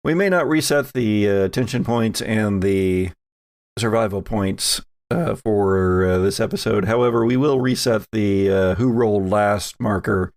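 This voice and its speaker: A man narrating a tabletop roleplaying game as game master, speaking in a halting, hesitant way. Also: on the low side, darkish tone, dry recording, studio quality.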